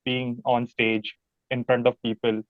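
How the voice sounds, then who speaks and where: A man's voice: medium pitch, little pitch movement, unhurried, no echo. A man with an Indian accent, a musician being interviewed, chatting casually in a rambling, enthusiastic, informal way. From Mumbai, India. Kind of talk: casual chat. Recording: thin phone-like sound, fairly dry.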